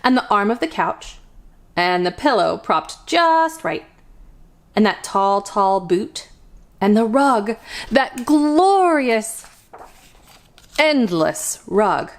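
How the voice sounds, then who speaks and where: A woman hosting a children's storytime, reading a picture book aloud in an expressive, deliberate storytelling manner. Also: mid-range voice. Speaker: children's storytime host and reader.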